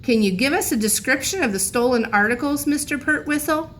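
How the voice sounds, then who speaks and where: A woman's voice: sits high, a little fast, fairly bright tone, pitched above the performer's own voice. A woman voicing a grave, earnest police officer in a storybook, speaking formally. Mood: official. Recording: noisy room recording.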